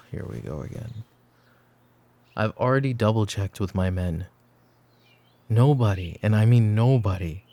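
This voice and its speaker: A brooding mafia boss acted by a man speaks in a dark, mellow, fairly low voice at a very unhurried pace. He is calm and commanding, with a protective, teasing edge. The delivery is soft and intimate, in the manner of a roleplay ASMR drama. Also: dry recording. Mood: firm, questioning. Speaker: voice actor.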